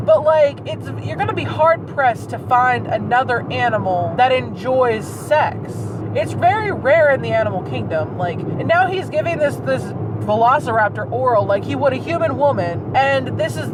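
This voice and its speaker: A man reading a story aloud and breaking in with incredulous, profane, casual commentary. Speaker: host reading bad fan fiction aloud. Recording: very noisy, distant mic, outdoor-like rumble.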